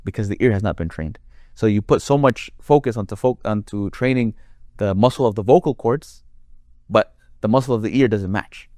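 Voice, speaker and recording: A man with a deep voice, a Quran reciter explaining his craft in a conversational interview, building his points with long worked examples. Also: normal speed, warm tone, dry recording.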